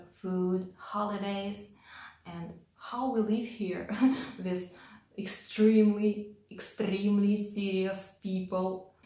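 A young woman from Russia with a thick Russian accent, speaking careful, slightly halting English in a personal vlog to camera. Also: medium pitch, a little mellow, roomy sound. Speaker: vlogger. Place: small home room with a piano. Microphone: handheld clip-on mic held near the mouth.

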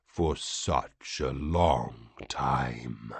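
Deep, hushed, menacing alien giant. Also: male voice, vocal fry, slightly rough, no room sound, exaggerated voice. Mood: cold menace. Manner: slow, drawn-out, menacing. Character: giant inhuman metal alien warlord, cold, menacing, contemptuous. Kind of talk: audiobook character acting.

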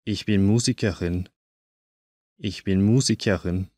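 A man teaching a language course, saying each short phrase twice in slow, careful drills. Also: low-pitched, fairly even intonation, a little mellow, dry recording, clean studio sound.

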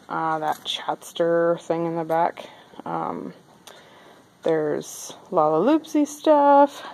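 A woman's voice: on the low side, a little mellow, low-quality recording. A woman casually vlogging a walk-through of a craft bazaar, chatty and upbeat with lots of fillers. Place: indoor craft bazaar hall.

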